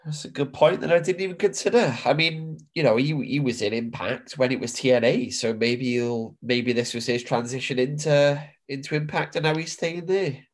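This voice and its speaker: A man's voice: mid-range voice, normal speed, few pauses. A middle-aged man from the UK with a stuffy nose from hay fever, co-hosting a podcast in casual, chatty talk that is peppered with swearing. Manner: chatty, swears casually, says "bro" and "mate". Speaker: podcast co-host. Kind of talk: casual chat.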